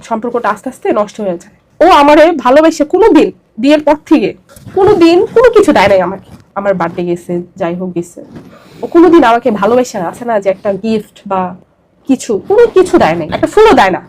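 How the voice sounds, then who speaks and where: Young bangladeshi woman telling her life story in an interview, kinda high voice, talks quick, repeats stuff for emphasis. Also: very animated, a little mellow, slight background noise. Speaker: interview guest. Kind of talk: personal confessional storytelling.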